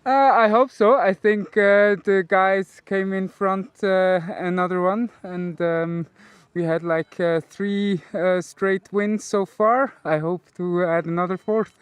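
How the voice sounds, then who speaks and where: A man's voice: sits high, level tone, measured pace, hardly any pauses, clean tone, dry recording. A man answering interview questions as a paragliding pilot, hesitant with many fillers. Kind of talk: post-flight interview.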